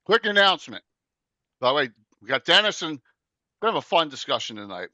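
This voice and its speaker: A man in his late fifties hosting a casual chat show, joking and rambling excitedly. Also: fairly high pitch, quick pace, no echo, muffled recording. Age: 50s. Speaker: podcast host.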